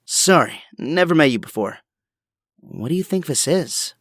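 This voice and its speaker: Man as animated, brainy young inventor hero. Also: on the high side, measured pace, no room sound, clean studio sound. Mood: puzzled, analytical. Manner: precise, technical, polite. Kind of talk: audio drama acting.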